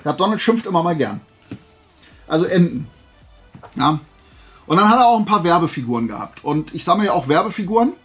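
A man's voice: high voice, big pitch swings, brisk, pauses often, fairly bright tone. A man talks to his viewers as a video host. He rambles along enthusiastically in loose, colloquial, unscripted chat, with music playing behind him. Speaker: video host showing collectible finds.

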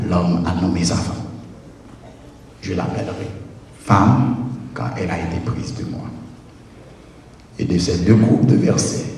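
A man preaching a deliberate, measured wedding sermon from a pulpit, holding a handheld microphone in a large church. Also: deep voice, dark tone, slight background noise. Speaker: pastor. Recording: echoey church hall from far off.

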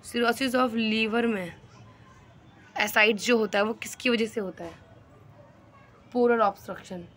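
A woman tutoring for a nursing exam, reading each question slowly and then explaining the answer in mixed Hindi and English. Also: fairly high pitch, fairly bright tone, slight background noise. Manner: mixes Hindi and English, repeats "ठीक है". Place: voice-over on screen recording.

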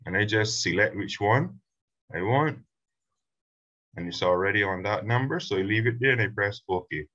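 A man teaching a screen-recorded software tutorial, explaining step by step in a relaxed way with fillers. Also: lowish voice, moderate pace, highs cut off. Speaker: instructor.

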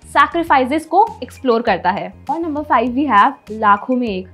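Young woman presenter, husky high voice. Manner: lively, mixes English into Hindi; gestures with her hands as she talks. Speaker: entertainment presenter. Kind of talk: scripted video presentation. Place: studio, in front of a blue-purple backdrop.

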